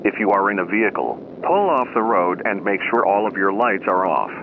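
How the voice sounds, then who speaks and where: A man's voice: low-pitched, lively intonation, a little fast. Muffled, noisy emergency radio announcer man. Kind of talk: scripted emergency alert announcement. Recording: dull lo-fi radio sound, loud noise.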